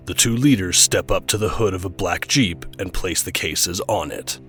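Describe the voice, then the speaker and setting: A man's voice: low voice, moderate pace, fairly bright tone, soft background music. A man reading scripted dramatic narration for an audio drama.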